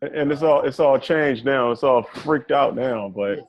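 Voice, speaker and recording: A man's voice: medium pitch, a little slow. A man in a class chiming in with eager interjections, often repeating his words. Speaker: class participant. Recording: dull lo-fi sound.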